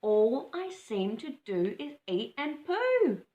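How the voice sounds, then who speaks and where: Woman reading as a glum, plaintive big bird, high and up-and-down, slow, storybook in an echoey room. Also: very animated, warm tone, exaggerated voice. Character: big clumsy flightless male bird, glum.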